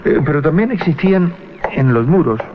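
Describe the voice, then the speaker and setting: A man narrating a radio drama, telling the story with deliberate, halting suspense. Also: on the high side, expressive, noisy background. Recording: muffled 1980s radio recording with music. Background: music.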